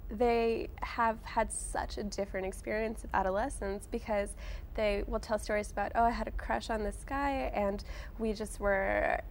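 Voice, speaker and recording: Wry young woman with creaky voice. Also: mid-range voice, steady tone, moderate pace, slight background noise. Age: late 20s. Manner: wry, self-deprecating, chatty with frequent "like". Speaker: author and blogger guest. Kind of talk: casual interview conversation.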